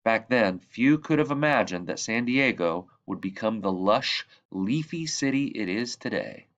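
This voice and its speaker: A man reading a children's book aloud as a narrator, with measured, expressive phrasing and emphasis on key words. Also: on the low side, highs cut off. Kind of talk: read-aloud storybook narration.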